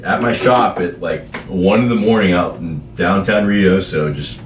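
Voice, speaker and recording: A man co-hosting a podcast, chatting casually in a relaxed way. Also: low voice, normal speed, roomy sound, recorded from a distance, slight background noise. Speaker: podcast host. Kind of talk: casual chat.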